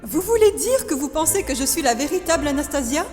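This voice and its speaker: A woman dubbing a feisty, earnest young orphan in an animated film. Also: sits high, brisk. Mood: feisty, suspicious. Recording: long hall reverb, film music behind.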